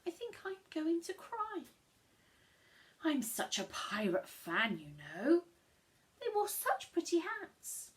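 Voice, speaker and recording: A woman's voice: very high voice, wildly swooping pitch, slow pace, voice pushed up, full character voice. Woman putting on a gushing, sentimental storybook neighbour. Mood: sorrowful gushing. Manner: gushing, theatrical. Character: gushing, sentimental neighbour, tearful pirate fan.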